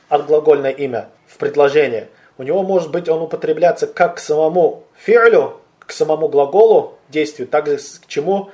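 A man's voice: a little high, darkish tone. A man giving a religious lecture, explaining terms step by step, asking rhetorical questions and answering them himself, quoting Arabic words. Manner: repeats and rephrases terms, quotes Arabic words. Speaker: religious teacher. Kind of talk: theological lecture.

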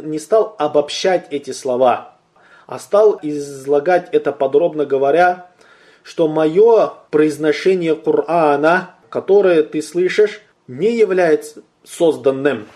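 Mellow-voiced man teaching a religious lesson. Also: on the high side. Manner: deliberate, slows down to stress key points, asks and answers own questions; addresses listeners as brothers.

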